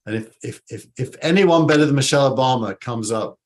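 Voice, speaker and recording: A man's voice: mid-range voice, brisk. Man, Yorkshire accent, reflective, repeats his openings, panel chat. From Yorkshire, England. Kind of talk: casual panel discussion.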